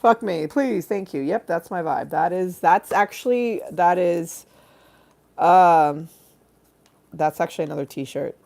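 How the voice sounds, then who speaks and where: A woman's voice: on the low side, measured pace, vocal fry, darkish tone. A woman hosting a rambling comic live stream, quirky, giggly and bawdy, theatrically drifting into asides. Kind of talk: rambling comic livestream chat.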